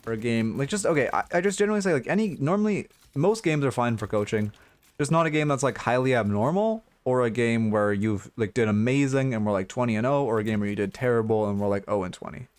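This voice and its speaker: A hoarse man giving blunt, rambling game coaching notes on a livestream, full of fillers and restarts. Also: mid-range voice, expressive, quick pace, no room sound. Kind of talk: casual livestream coaching talk.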